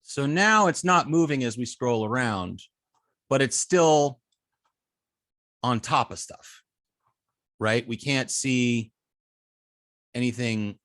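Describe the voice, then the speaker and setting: A man with a mid-range voice teaches web coding step by step. His phrasing is halting, full of ums and restarted sentences. The sound is dry and close to the microphone. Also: medium pitch, expressive, a bit bright, dry recording. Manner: halting, hesitant phrasing with frequent ums and self-corrections. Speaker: web development instructor. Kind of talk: live coding tutorial, explaining step by step.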